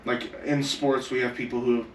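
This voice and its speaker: A man's voice: medium pitch, level tone, normal speed. A young man co-hosting a casual gaming podcast, upbeat and leading the talk with questions. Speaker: podcast co-host. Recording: roomy echo, distant mic, faint noise.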